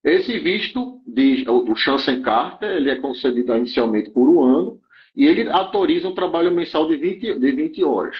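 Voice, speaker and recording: A man's voice: a little high, animated, moderate pace, lo-fi sound. A man explaining immigration rules in an interview, often checking in with his listener and restarting phrases.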